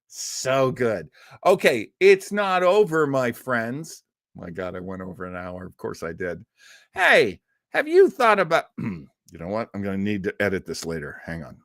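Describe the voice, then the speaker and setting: A man hosts a show and wraps up the episode in rambling, friendly chat, tossing in self-mocking asides. His pitch moves a great deal from phrase to phrase. The recording is dry and close, with no room sound. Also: huge pitch swings, unhurried, fairly bright tone, no echo. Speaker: podcast host. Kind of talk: casual chat wrapping up an episode.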